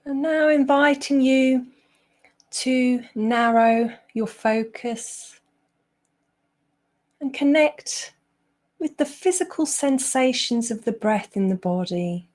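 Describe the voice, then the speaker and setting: A woman guiding a meditation as a teacher, speaking gently and leaving long pauses. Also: sits high. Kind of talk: guided meditation.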